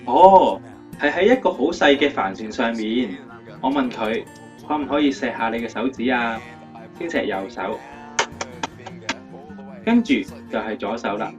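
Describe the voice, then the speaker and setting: A man's voice: medium pitch, lively intonation, a little slow, long pauses, far from the mic, close to an everyday voice. Radio drama: male actor as upbeat, playful young lover and speaker, dull recording over guitar. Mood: tender, moved. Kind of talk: scripted radio drama interview. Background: guitar music.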